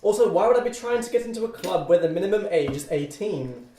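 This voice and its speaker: A man's voice: high-pitched, animated, fast, a little hiss or hum. A man playing an indignant, drunk student at a table read, protesting in a mock-formal, argumentative way. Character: indignant, trashed young male student refused club entry. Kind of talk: informal script table read. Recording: echoey room with loud chatter.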